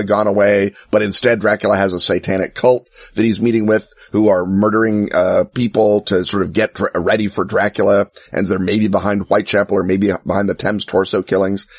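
Man, lowish husky voice, enthusiastic rambling explainer, wry jokes, dry close recording. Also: dry recording. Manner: enthusiastic, wry asides, many fillers. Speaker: podcast co-host. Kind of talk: casual explanatory chat.

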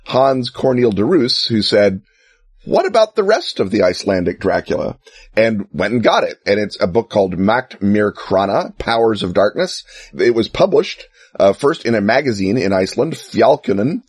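A man with a slightly low, husky voice talks through his subject with plenty of enthusiasm and wry humor. His telling is loose and chatty, full of ums and uhs. The sound is dry and close, with no room around it. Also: big pitch swings, moderate pace, dry recording. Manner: enthusiastic, wry asides, many fillers. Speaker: podcast co-host. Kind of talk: casual explanatory chat.

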